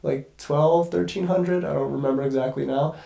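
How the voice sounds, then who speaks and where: A man's voice: on the high side, steady tone, normal speed, gravelly, warm tone, reverberant. A congested young man talking as a guest cinematographer in a casual conversation, rambling and swearing casually. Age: young adult.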